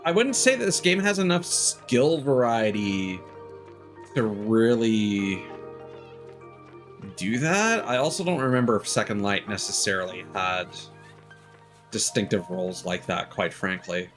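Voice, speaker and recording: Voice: medium pitch, expressive, unhurried. A game streamer giving casual gameplay commentary, rambling and musing aloud. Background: video game music.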